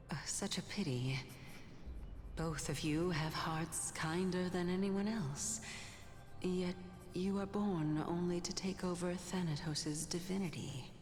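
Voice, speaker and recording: Long reverb surrounds a solemn, wistful sage, in a fantasy game. She speaks slowly and poetically in a slightly low voice, with music behind her. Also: darkish tone. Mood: sorrowful. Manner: stately, lamenting, poetic. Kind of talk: dramatic fantasy game acting.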